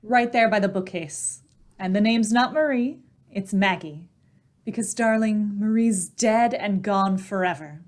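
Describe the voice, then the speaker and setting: A woman playing a composed, affectionate, knowing wife in an old-time radio play, gentle and coaxing. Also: mid-range voice, measured pace, close to an everyday voice. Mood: quietly enigmatic.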